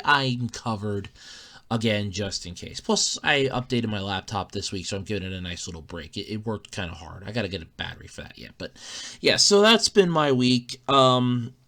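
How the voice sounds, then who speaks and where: Bright-voiced man with a scratchy throat. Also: on the low side, sing-song, a little fast. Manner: rambling, frequent fillers and restarts. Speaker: podcast host. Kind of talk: casual solo podcast monologue.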